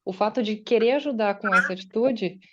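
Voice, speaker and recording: A woman's voice: medium pitch, brisk, fairly bright tone, muffled recording. A woman giving a conversational, faith-based motivational talk on an online call, often acting out her listeners' doubts. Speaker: guest speaker and writer. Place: online meeting. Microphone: video call.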